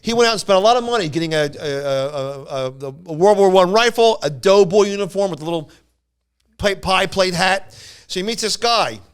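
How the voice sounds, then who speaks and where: A man with a smooth, fairly high voice spins a casual story on a podcast. He rambles along, stumbling over words and circling back to repeat phrases. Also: expressive, a little fast. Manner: rambling, stammering, repeats himself. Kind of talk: casual anecdote telling.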